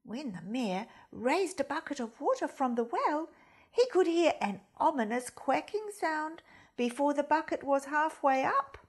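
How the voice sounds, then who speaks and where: Expressive, slow-paced woman reading a children's story. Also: sits high. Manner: expressive, deliberate, addressing young listeners. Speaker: storytime reader at a primary school.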